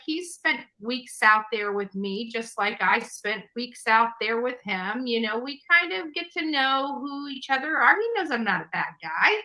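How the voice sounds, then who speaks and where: A woman's voice: on the high side, sharp tone, rough recording. A woman talking casually to an online audience in an emphatic, repetitive way.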